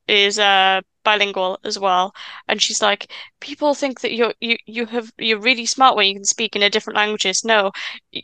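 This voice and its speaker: Welsh-speaking woman, 20s, stuttering guest chatting; bright, clean, dry close sound. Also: medium pitch, clean tone, no room sound. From Wales. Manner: stammers and restarts, stutter; chatty, quick asides and laughs. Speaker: podcast guest. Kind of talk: casual chat.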